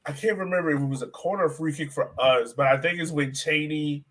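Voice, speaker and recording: Higher-voiced man chatting about sports. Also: brisk, a bit bright. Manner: conversational, asks co-host questions. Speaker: co-host of a soccer fan podcast. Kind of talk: casual sports analysis chat.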